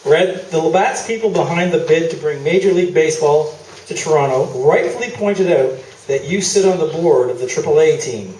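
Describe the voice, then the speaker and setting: Guy as a dry needling interviewer, formal but sardonic, bit high, echoey theatre, recorded from a ways back. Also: fairly quick, darkish tone, natural voice. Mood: probing. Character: dry, needling male interviewer grilling a guest. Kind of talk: comic stage radio play. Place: small theatre stage, live performance. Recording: echoey stage, distant noisy camera mic.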